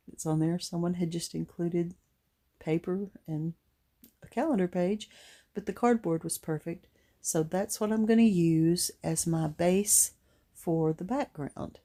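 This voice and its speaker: Gravelly, low-voiced woman chatting over crafts. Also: mellow. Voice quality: gruff, gravelly. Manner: chatty, rambling, unhurried. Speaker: craft vlogger. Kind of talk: casual craft tutorial voice-over.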